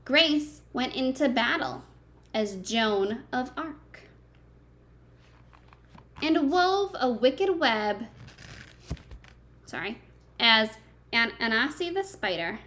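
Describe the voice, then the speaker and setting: Slow-paced woman reading aloud to children. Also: a little high. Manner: expressive, simple child-directed phrasing. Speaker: teacher reading a picture book aloud to young pupils. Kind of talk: children's read-aloud with chatty asides and questions to the listeners.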